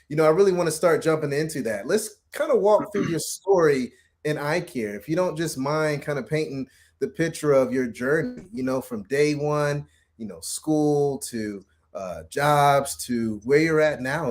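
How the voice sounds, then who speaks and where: A man's voice: a little high, moderate pace. A man hosting a casual, upbeat interview, effusive and informal in the way he talks to his guest. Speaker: podcast host interviewing a guest.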